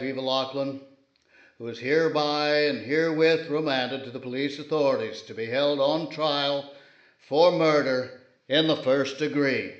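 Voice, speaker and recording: A man playing a stern, impassive judge in a 1950s radio drama, speaking formally and in a measured way. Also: mid-range voice, close to an everyday voice. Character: stern, impassive male judge passing judgment. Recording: echoey room, dull band-limited sound.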